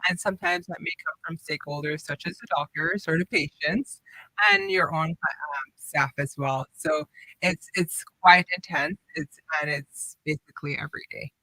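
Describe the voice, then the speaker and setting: A woman's voice: sits low, fairly even intonation, moderate pace, pushed and bright, dry recording, rough recording. A young woman from Guyana, raised in the Cayman Islands, answering radio interview questions earnestly and upbeat. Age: young adult. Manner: earnest, upbeat, explains at length. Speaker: hospital operations manager, guest. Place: radio studio.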